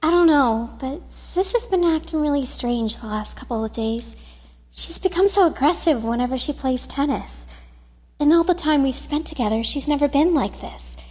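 A woman playing a gentle, worried teenage younger sister in an anime dub, speaking earnestly and hesitantly. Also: sits high, expressive, normal speed, big-room sound.

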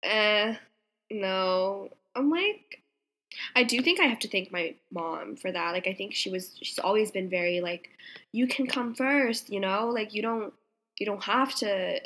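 A young woman speaks with a very bright, forward tone at an average pitch. She chats casually as a podcast co-host. Her manner is animated and slangy, with "like" scattered through her sentences. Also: unhurried, poor audio. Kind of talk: casual chat.

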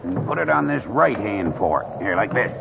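An actor playing a scheming, confident American outlaw boss in a radio western. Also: male voice, on the low side, very animated, normal speed, vocal fry, heavily acted. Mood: sly, commanding. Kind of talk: 1950s radio western acting. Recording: tinny, very noisy old radio broadcast.

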